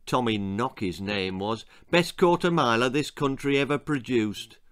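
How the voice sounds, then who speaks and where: An English man voicing a wise, wistful old man in a storybook, measured and earnest in his encouragement. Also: medium pitch, animated, fairly bright tone, no echo, clean studio sound. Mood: encouraging.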